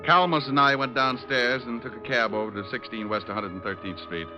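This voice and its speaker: A man's voice: medium pitch, brisk, over music, natural voice. A man playing a shrewd, wisecracking tough private detective in a 1940s style radio drama. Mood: wry, breezy. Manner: sardonic, wisecracking. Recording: muffled vintage radio recording.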